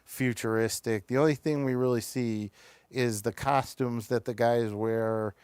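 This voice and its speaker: A man hosting a casual review discussion, talking in a halting way that often trails off and picks up again. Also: medium pitch, fairly even intonation, a little mellow, clear, resonant tone, dry recording. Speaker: show host reviewing television episodes.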